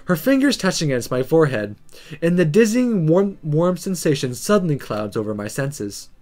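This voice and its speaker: A man hosting a let's play, reading a visual novel aloud dramatically and commenting on it in an expressive, theatrical way. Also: a little high, moderate pace.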